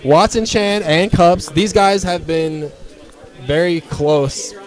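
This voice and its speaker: A man hosting a fighting game tournament stream, calling the action in a relaxed, chatty way. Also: high-pitched, slow pace. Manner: laid-back, chatty, frequent fillers. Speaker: tournament stream host and commentator. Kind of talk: casual esports play-by-play.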